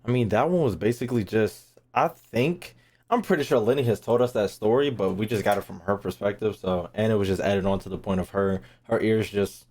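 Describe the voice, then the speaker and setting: A woman's voice: a bass voice, darkish tone. A woman giving casual, exclamatory gaming reaction commentary full of slang and swearing. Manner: exclamatory, profane, informal. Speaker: gaming content creator reacting to story readings.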